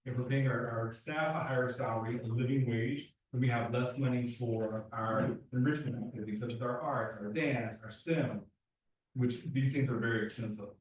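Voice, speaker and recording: A man speaks at a community board meeting, earnest and quick, often restarting his sentences. His voice sits at an ordinary pitch. It sounds distant and echoey, picked up by a room mic across a large meeting hall. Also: fairly even intonation, slight background noise. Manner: earnest, talks fast with restarts. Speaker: youth program director. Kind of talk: public meeting testimony.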